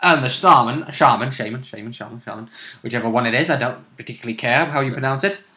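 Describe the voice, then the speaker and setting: Casting: male gamer, bright mid-pitched voice, rambling playful chat, roomy sound. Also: fast talker. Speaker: player in a tabletop role-playing game. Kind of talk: casual game chat. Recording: roomy, echoing room sound.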